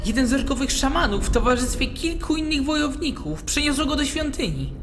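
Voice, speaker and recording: A woman playing an eager, talkative, hopeful young male prisoner in a fantasy audio drama, excitable and insistent. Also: high voice, brisk, background noise.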